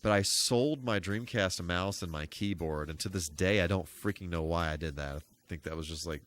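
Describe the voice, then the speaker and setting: A man streaming a game and chatting casually, thinking aloud in a rambling, halting way with folksy, mild exclamations. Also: low-pitched, a bit bright. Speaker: live streamer, host. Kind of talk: casual gameplay live stream chat.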